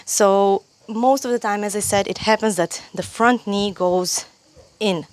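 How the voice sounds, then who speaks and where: A woman's voice: medium pitch, measured pace, no room sound. A young woman from Croatia with a Croatian accent, teaching a yoga pose step by step outdoors on a lapel mic, patient and careful, often restarting phrases. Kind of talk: yoga tutorial instruction. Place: sunny outdoor stone terrace. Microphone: clip-on lapel mic.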